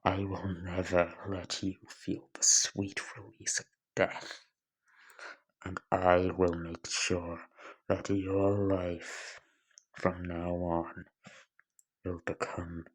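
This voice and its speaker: A man voicing a bitter, vengeful young man turned giant cyborg, deadpan and hushed in a fan story. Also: sits low, slightly rough, full character voice, low-quality recording. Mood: cold menace. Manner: slow, deliberate, deadpan, sarcastic.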